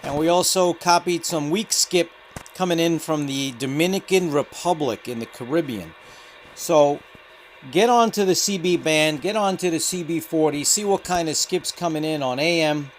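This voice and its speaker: A man's voice: on the high side, moderate pace. Man demonstrating radio, heavy static behind. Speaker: CB radio hobbyist host. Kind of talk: casual hobby radio demo commentary. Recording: noisy room with radio static. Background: CB radio static and chatter.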